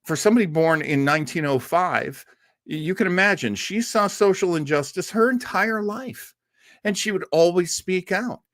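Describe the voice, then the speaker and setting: A man's voice: on the high side, a bit bright, no room sound, studio quality. A man hosting a casual livestream, chatting enthusiastically with many fillers and restarts. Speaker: host.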